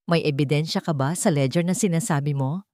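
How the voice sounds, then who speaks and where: A woman's voice: deep voice, a little fast, warm tone, dry recording, clean studio sound, close to an everyday voice. A determined lady prosecutor in a melodramatic audio story, read by a woman narrator. She speaks seriously and firmly, with a stern sense of justice. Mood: serious, composed. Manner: serious, firm.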